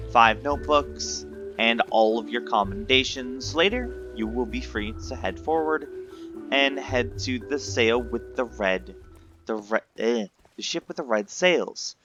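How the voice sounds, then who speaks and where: Bright-voiced man guiding a game walkthrough. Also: medium pitch. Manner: instructive, informal. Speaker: video game guide maker. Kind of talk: walkthrough commentary. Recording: dull lo-fi mic, faint game music. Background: video game music.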